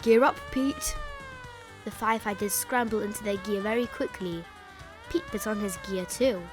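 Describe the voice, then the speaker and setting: Woman, children's read-aloud, expressive, emphatic delivery, drawn-out key words, music underneath. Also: mid-range voice. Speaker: children's story reader. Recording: music bed behind the voice.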